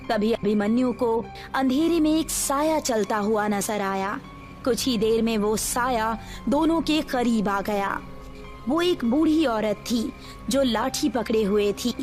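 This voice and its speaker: A woman narrates a scripted horror tale. Her voice sits a little high, and music plays beneath the narration. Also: a little mellow. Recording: music behind the voice.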